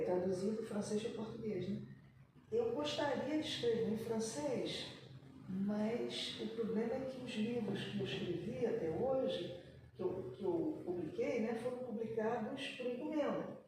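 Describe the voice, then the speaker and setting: A woman speaking on a literary panel, thinking aloud with frequent pauses and restarts, recorded from a distance in an echoey room. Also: mid-range voice, background noise. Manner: reflective, many pauses and restarts. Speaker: writer on a panel. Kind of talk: panel discussion talk.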